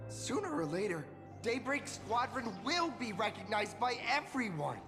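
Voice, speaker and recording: A humble young rookie hero from a video game, earnest and unsure. The voice is high and lively with a crackle of fry. There is long reverb and music behind it. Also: male voice, moderate pace, exaggerated voice. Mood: earnest, modest. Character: earnest, modest, self-doubting young rookie hero, reformed delinquent. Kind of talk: animated game voice acting.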